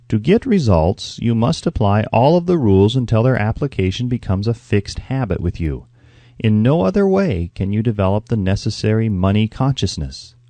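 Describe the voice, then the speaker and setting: A man reading a self-help audiobook in a measured, deliberate, instructive way. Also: lowish voice, dark tone, no room sound. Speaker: audiobook narrator. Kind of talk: scripted narration of a self-help book.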